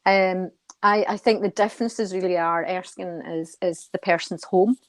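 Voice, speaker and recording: A middle-aged woman giving thoughtful, measured interview answers, often repeating a word as she goes. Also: fairly low pitch, steady tone, dry recording. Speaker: guest, senior nurse and charity committee member.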